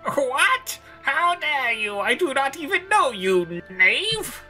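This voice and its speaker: A woman's voice: high voice, very animated, takes it slowly, bright, forceful tone, soft background music, voice pushed up, exaggerated voice. A woman voicing an indignant, pompous old male chancellor in an amateur video game dub. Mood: outraged. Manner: pompous, archaic exclamations.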